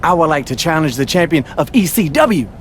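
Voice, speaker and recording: A man's voice: on the high side, big pitch swings, a little fast. A man playing an earnest, grandiose, oblivious comic superhero wrestler in a video game, with faint noise behind. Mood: grand, eager.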